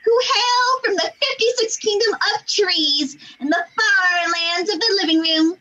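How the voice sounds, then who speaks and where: Very high, pompous abandoned teddy bear. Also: female voice, measured pace, noisy background. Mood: grandiose. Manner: grandly indignant, theatrical proclamations. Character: proud, wounded teddy bear knight from childhood. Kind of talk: amateur radio play acting. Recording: dull lo-fi recording.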